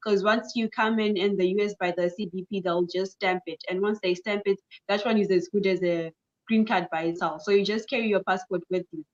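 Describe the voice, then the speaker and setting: A woman's voice: medium pitch, fairly even intonation, brisk. A young woman from Zimbabwe chats as an interview guest in an easy, upbeat way. She repeats words for emphasis, and her voice sounds slightly muffled. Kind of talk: casual interview answers.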